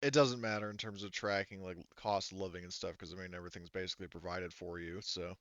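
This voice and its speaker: A man explaining tabletop game mechanics as game master, in a halting, casual and mildly sweary way. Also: sits low, steady tone, a bit bright, no echo, highs cut off.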